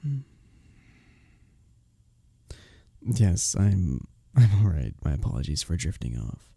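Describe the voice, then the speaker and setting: A man playing a kind, courteous innkeeper in a medieval fantasy village, speaking softly and formally in an intimate ASMR roleplay drama. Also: deep voice, very animated, moderate pace, gravelly, husky, no room sound, clean studio sound, heavily acted. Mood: tender, shy. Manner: gentle, formal storybook phrasing, hesitant and tender.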